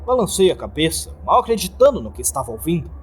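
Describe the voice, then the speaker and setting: Brazilian man narrating horror with lively pitch. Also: fast talker, slightly rough. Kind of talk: dramatic scripted horror narration. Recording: faint background noise.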